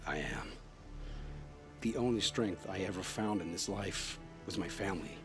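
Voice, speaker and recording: A man's voice: mid-range voice, animated, moderate pace, music in the background, close to an everyday voice. Man acting a guilt-ridden informant, grave and resigned. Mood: remorseful, resigned.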